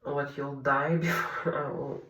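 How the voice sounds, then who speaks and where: A woman's voice: very deep voice, flat delivery, measured pace, roomy sound, recorded from a distance, dull audio. Polish-native woman vlogging at home at night, candid, talking a bit away from the mic. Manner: soft-spoken, candid, confiding. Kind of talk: personal diary vlog.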